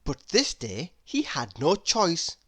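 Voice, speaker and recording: A woman narrating a children's storybook aloud in an expressive, dramatic and deliberate way. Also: fairly low pitch, husky.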